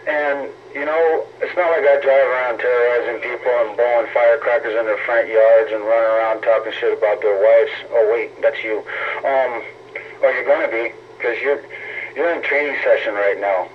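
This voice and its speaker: A man's voice: mid-range voice, fairly even intonation, moderate pace. A man trash-talking in a heated, casual CB radio argument, profane, taunting and sarcastic. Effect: CB radio transmission. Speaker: CB radio operator in an on-air argument. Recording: tinny narrow-band CB radio, noisy static.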